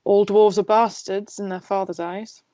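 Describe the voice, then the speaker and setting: A woman's voice: medium pitch, flat delivery, moderate pace, dark tone, no room sound, close to an everyday voice. Casting: woman as sardonic, world-weary drunken dwarf nobleman, blunt, fantasy script read, close dry sound.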